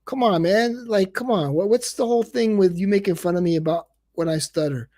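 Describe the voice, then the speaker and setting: A man's voice: high-pitched, fairly quick, dark tone. A man hosting a casual livestream, rambling and repeating words, and he says he stutters. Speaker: livestream host.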